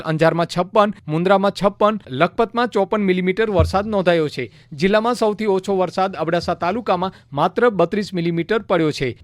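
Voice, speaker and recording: A male news reporter with a notably high voice. He narrates a scripted radio report in a very dry, close sound. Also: dry recording.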